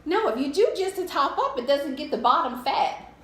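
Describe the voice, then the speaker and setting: A middle-aged American woman telling a casual, humorous personal story in a candid, animated way. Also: high-pitched, normal speed. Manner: animated, candid, acts out her own thoughts. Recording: echoey room with faint noise.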